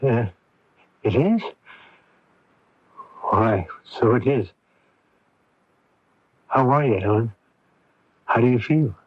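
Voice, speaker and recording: An actor playing a gentle, hesitant, devoted middle-aged father in a 1980s radio drama, with a husky voice. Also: mid-range voice, lively intonation, takes it slowly, long pauses, dry recording. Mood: drowsy, confused. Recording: dull, dry AM radio recording.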